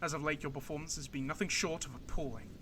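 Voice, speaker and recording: A man's voice: on the high side, steady tone, fast talker, background noise, lightly acted. A man playing a stern, angry military officer in a radio drama, scolding in a clipped, authoritarian way. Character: stern, angry male military superior berating a subordinate.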